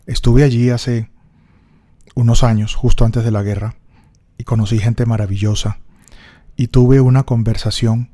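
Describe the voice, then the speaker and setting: A middle-aged Colombian man with a Colombian Spanish accent, telling a personal story in a thoughtful, unhurried reflective monologue. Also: mid-range voice, mellow. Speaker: speaker recording a personal reflection.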